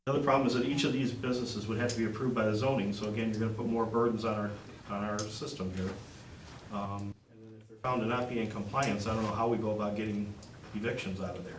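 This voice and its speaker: A man giving a measured, concerned public comment at a township meeting. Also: fairly low pitch, steady tone, a little hiss or hum. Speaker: resident speaking at public comment. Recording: echoey room mic from a distance.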